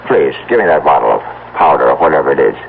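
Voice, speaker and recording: An actor playing an anxious, loving, stubborn farmer husband in a radio drama, with a halting, stammering urgency. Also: male voice, a bass voice, expressive, gravelly, heavily acted. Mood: tender, uneasy. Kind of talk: vintage-style radio drama acting. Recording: muffled, noisy old radio drama recording.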